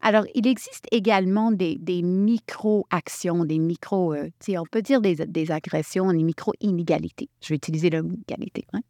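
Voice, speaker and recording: A woman from Quebec leading a workshop, talking in an animated way and restarting her sentences often. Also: on the low side, normal speed, hardly any pauses, dry recording, clean studio sound. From Quebec, Canada. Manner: animated, thinks aloud with many restarts. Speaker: workshop facilitator. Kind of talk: workshop talk.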